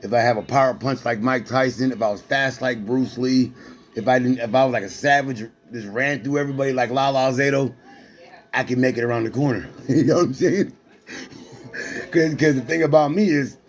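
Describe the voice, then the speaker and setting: A man's voice: medium pitch, fairly even intonation, moderate pace. A man in his forties, an amateur boxer, talking casually in loose, repetitive street slang with lots of restarts. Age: 40s. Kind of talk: casual rambling talk to a companion.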